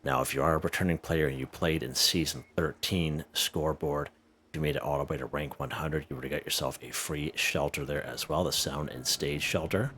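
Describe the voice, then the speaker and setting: Very deep-voiced man, chatty game guide. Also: fairly even intonation, fairly quick, a bit bright, a little hiss or hum. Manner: chatty, checks in with "okay?" and "right?". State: slightly hoarse. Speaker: gaming video host. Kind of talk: casual game walkthrough.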